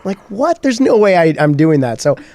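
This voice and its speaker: A man's voice: a little high, brisk. A man co-hosting a casual real estate talk show, telling jokey stories. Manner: animated, jokey storyteller. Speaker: co-host. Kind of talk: casual chat.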